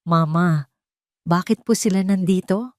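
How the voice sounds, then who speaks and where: A woman's voice: lowish voice, unhurried, darkish tone, no room sound, studio quality, lightly acted. A woman voicing an anxious young child who asks polite questions.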